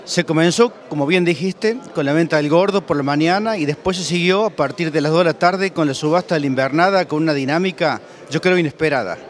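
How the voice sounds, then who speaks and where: A middle-aged man with an Argentine accent, presenting and interviewing as an agricultural TV journalist in measured, formal phrases. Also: on the high side. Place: large indoor event hall. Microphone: handheld interview microphone.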